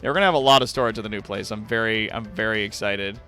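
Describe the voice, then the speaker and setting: A man's voice: fairly low pitch, fast, pushed and bright, soft background music. A man hosting a livestream, giving a dramatic reading of fan fiction with wry, sweary asides to viewers. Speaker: livestream host.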